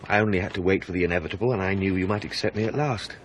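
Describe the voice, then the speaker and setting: An actor playing a well-spoken English husband in a radio drama, clipped and dry, possessive and quick to irritation. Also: male voice, deep voice, a little hiss or hum, lightly acted. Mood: teasing, tender.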